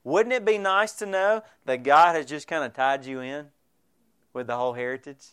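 A man's voice: on the high side, normal speed, a bit bright, clean tone. A male pastor preaching a sermon, earnest and direct, addressing the congregation with frequent rhetorical questions.

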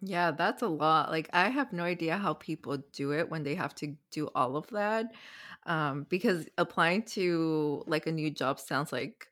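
Woman, lowish voice, podcast host, casual interviewer, informal, friendly. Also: measured pace, fairly bright tone.

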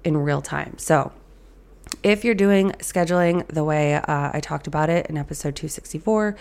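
Raspy, low-voiced woman hosting a podcast. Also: moderate pace, darkish tone. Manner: chatty, loose, with many ums and restarts. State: hoarse after an illness. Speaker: podcast host. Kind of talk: casual solo podcast talk.

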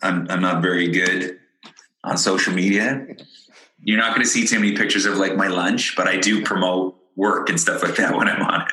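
A very deep-voiced man guests on a podcast, yet his tone comes across bright and forward. He talks earnestly and with enthusiasm, stumbling and restarting his words, and the audio has a roomy echo. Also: steady tone, normal speed. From born in Ireland, raised in Ontario, Canada. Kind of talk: casual interview chat.

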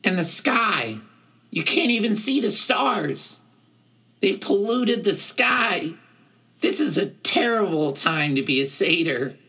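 An earnest young male satyr from a storybook, acted out by a man, speaks in a high, husky voice. He is plaintive and emphatic, gloomy about nature and quick to turn indignant, lecturing at an unhurried pace. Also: expressive, sharp tone, exaggerated voice. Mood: mournful.

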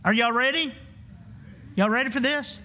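A man's voice: very high-pitched, normal speed, pushed and bright. A man, preaching a sermon emphatically and calling for answers from the congregation. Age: 50s. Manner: emphatic, call-and-response preaching. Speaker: pastor. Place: church.

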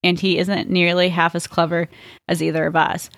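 Female reader as brilliant, anguished male detective; flat, halting, gravelly, for storybook narration. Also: lowish voice, dry recording, studio quality. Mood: flat, accusing.

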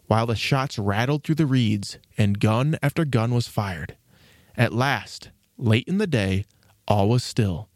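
A man narrates a storybook from a script. His voice sits at a medium pitch, and the recording is very dry with no room around it. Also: a little mellow, no echo.

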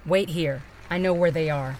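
A woman playing a brisk heroine, very noisy track. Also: low voice. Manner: casual, clipped. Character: brisk, busy, no-nonsense woman.

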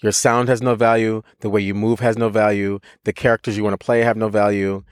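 Animated man telling a story, dry sound. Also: lowish voice, brisk, smooth, no echo. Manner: animated storytelling with frequent laughs and restarts; swears casually. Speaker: podcast co-host, voice teacher. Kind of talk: casual podcast chat.

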